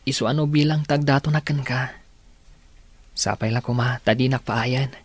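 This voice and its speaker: A man playing an earnest young man in love in a radio drama, with a tender, slightly husky voice. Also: medium pitch, fairly even intonation, normal speed, no echo, natural voice.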